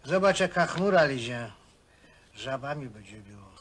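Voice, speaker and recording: A man's voice: medium pitch, animated, moderate pace, a bit bright, slight background noise, close to an everyday voice. An actor playing a rowdy, crude working-class man in a film, slangy and teasing with his mates. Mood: coarse banter. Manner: coarse, slangy, teasing, chuckling. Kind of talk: naturalistic film acting. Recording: 1970 film soundtrack, voices in background.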